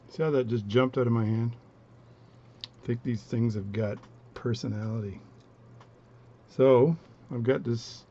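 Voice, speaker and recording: Man, low voice, mellow, relaxed rambling demo, home workbench, muffled lo-fi camera sound. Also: creaky, a little hiss or hum. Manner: relaxed, rambling, self-narrating each step. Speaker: watchmaking hobbyist demonstrating. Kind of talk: casual bench demonstration, talking while working.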